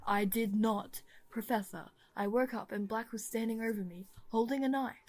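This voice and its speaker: Teen boy, played by a woman, highish voice, blunt, insistent, easily indignant. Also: moderate pace, a little mellow. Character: excitable, indignant teenage schoolboy.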